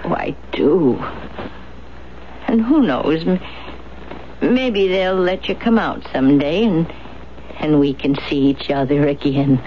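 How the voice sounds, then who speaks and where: A woman's voice: deep voice, takes it slowly, pauses often. An actress playing a motherly, homespun old country woman in a radio drama, with a folksy, pleading manner. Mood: worried, pleading. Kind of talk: 1970s radio drama acting. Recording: dull, noisy 1970s radio recording.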